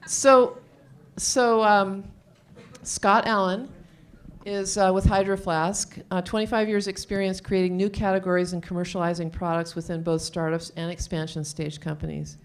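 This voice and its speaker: A woman hosting a live event, introducing speakers in an informal, chatty way and sometimes haltingly reading from notes. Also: mid-range voice, little pitch movement. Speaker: event host introducing panelists. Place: live event room, on stage. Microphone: handheld microphone.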